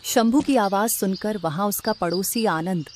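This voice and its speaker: A woman's voice: medium pitch, unhurried. A woman reading scripted narration for a cartoon story, with faint noise in the background.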